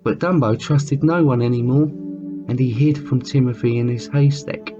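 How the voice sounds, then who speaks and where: A man reading a children's story aloud as a storyteller, with theatrical, drawn-out emphasis. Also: medium pitch, expressive. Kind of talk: expressive read-aloud storytelling. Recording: muffled lo-fi sound with music behind. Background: music.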